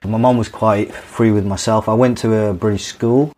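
A man in his thirties who grew up in Dubai, telling his life story as a podcast guest, halting and restarting his sentences often. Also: on the low side, steady tone, mellow. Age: 30s. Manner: halting, with many restarts and fillers.

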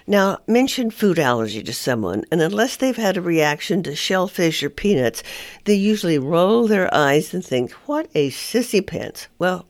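A woman reads her own humorous column aloud with wry, self-mocking timing. Her pitch sits on the low side but swings widely as she goes. The sound is dry and close, with no room around it. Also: no room sound. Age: older. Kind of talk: reading a humorous personal column.

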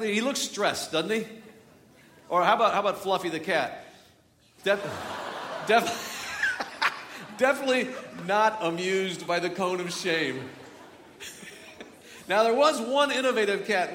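Joking pastor in an echoey hall. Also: male voice, high-pitched, sharp tone, a little hiss or hum. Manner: chatty, joking, with frequent restarts. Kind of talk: sermon. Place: large church hall. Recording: echoey church hall from far off.

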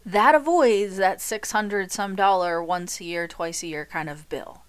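A woman's voice: medium pitch, a little slow, smooth. A woman in her thirties hosting a podcast as a money coach, talking chattily with jokey asides, a little nasal from a cold. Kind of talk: solo podcast talk.

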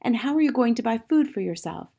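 A woman voicing a domineering, stingy aunt of about fifty in a storybook, with a loud, scornful, demanding manner. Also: medium pitch, expressive, fairly quick, slightly rough, pitched above the performer's own voice. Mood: outraged, scornful.

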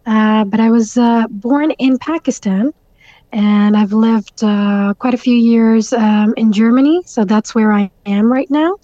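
A young woman from Canada whose first language is Urdu, answering interview questions thoughtfully with many fillers and soft laughs, heard over dull lo-fi audio. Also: on the high side, measured pace, no echo. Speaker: guest author.

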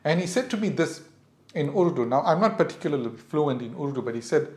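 A man's voice: fairly high pitch, animated, brisk. Man, middle-aged, South African accent, podcast host, earnest advice, repeats himself, roomy echo. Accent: South African English. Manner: earnest, advising parents with repetitions. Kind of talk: reflective podcast monologue.

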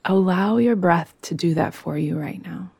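A woman guiding a yoga nidra meditation in a soothing, gentle, unhurried manner. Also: on the low side, vocal fry, husky, no echo. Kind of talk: guided meditation.

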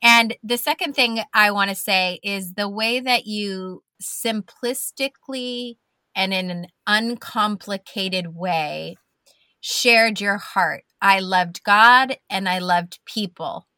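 Effusive woman podcast host, unhurried delivery, dry close sound. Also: mid-range voice, pushed and bright, no echo. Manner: effusive, praising, drawn-out phrasing. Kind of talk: podcast interview hosting.

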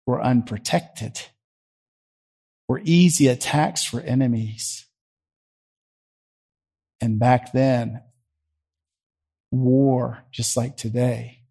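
A middle-aged man with a Southern US accent, preaching a conversational sermon from a church platform. He questions the congregation and moves between loud and quiet. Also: medium pitch.

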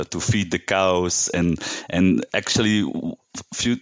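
A man from Belgium, telling personal stories as a podcast guest in a halting, conversational way. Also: low voice, no echo, muffled recording. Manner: halting, hesitant delivery.

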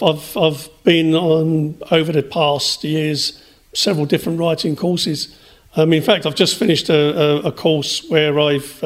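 A man answering as a writer in a radio interview, in hesitant, measured phrases. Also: on the high side. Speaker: interview guest, writer and storyteller.

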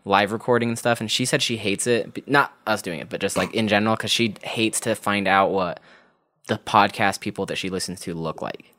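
A man's voice: fairly low pitch, brisk, sharp tone. Rambling casual man podcasting. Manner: rambling, swearing, laughing often. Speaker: podcast co-host. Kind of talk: casual chat.

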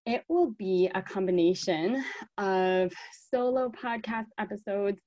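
Slow-paced American woman hosting a podcast. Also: medium pitch, dark tone, no echo, highs cut off. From born in South Africa, raised in the United States. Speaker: podcast host. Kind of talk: solo podcast talk to listeners.

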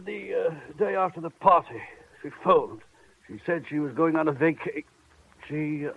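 Pompous agency boss, narrow vintage radio. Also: male voice, a little high, animated, normal speed, husky. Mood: rattled, evasive. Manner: affected, formal, supercilious. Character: pompous, evasive, haughty fat male agency boss. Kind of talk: 1940s radio drama acting. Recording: band-limited vintage radio recording.

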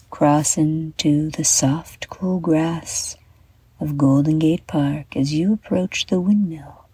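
A woman guiding a children's bedtime meditation in a soft, breathy half-whisper, gently and soothingly, with deliberate pauses. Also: fairly low pitch, no room sound. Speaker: meditation guide and show host.

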